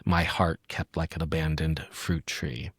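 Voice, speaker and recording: A man's voice: very deep voice, level tone, measured pace, husky, no room sound, clean studio sound. A middle-aged man hosting a reflective poetry podcast conversation, musing in sentences that often trail off. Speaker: podcast host.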